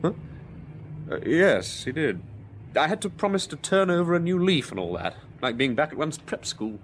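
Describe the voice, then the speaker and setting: An actor playing a bitter, nervy war veteran gentleman in a radio drama, with an upper-class English accent and excitable public-school slang. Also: a little high, normal speed, slight background noise. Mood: musing, amused.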